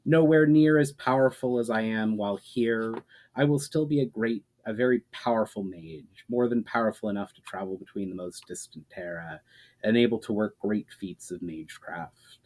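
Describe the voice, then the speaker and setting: A man's voice: fairly low pitch, moderate pace, darkish tone, lightly acted, poor audio. A man voicing an earnest, troubled mage in a storybook reading, grave and explanatory in manner. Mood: resolved, gentle. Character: troubled, earnest male mage haunted by visions.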